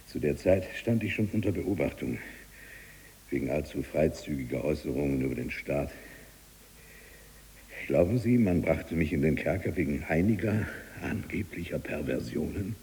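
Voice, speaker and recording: An actor playing an imprisoned freethinking writer, mocking, obsessive and eloquent, with a husky, gravelly voice, in a theatrical radio drama monologue. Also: male voice, rumbling low, expressive, moderate pace, mellow, full character voice. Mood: bitter, subdued, then fierce challenge. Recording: very reverberant hall-like space.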